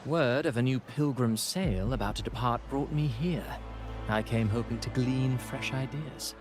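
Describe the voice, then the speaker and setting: An actor playing a courteous playwright with a formal, polished manner. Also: male voice, medium pitch, measured pace, music in the background, close to an everyday voice.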